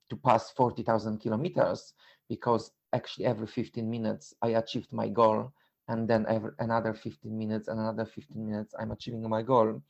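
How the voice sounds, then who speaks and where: A middle-aged man with a Polish accent talks in a reflective interview style, with a creaky, gravelly voice at a medium pitch. His delivery is halting, with repeated words and non-native phrasing. The sound is slightly dull and lo-fi. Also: a little mellow. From Poland. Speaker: interview guest, endurance runner and coach.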